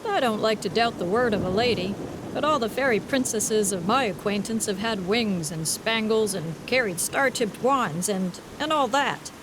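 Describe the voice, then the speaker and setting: Woman doing friendly rich guy in a storybook, relaxed, kinda vague, light rain noise. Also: mid-range voice, moderate pace, natural voice. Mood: genial. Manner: genial, amused, a bit vague. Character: good-humored young railroad magnate, man. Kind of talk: audiobook reading.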